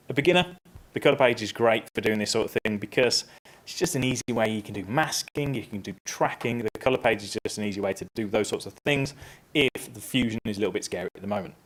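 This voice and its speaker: A man presenting a casual, upbeat software tutorial on a conference stage, stepping through each click. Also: fairly low pitch, fairly quick. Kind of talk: live software tutorial talk.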